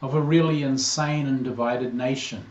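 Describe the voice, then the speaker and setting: A man in his sixties with a New Zealand accent, a priest giving a measured, earnest greeting to a parish. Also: mid-range voice, fairly even intonation, a little mellow. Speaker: priest, incoming interim rector. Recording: roomy, slightly dull recording.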